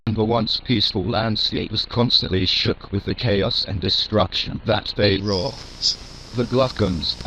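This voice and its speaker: A man's voice: lowish voice, fairly bright tone. A man playing a propagandist documentary narrator for alien industrialists, in solemn, formal scripted narration over noisy VHS-style audio.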